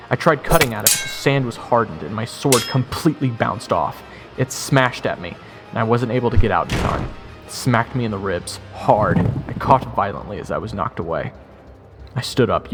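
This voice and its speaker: A man giving first-person dramatic scripted narration as the hero of a fantasy audio roleplay. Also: lowish voice. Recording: long reverb, music under the voice.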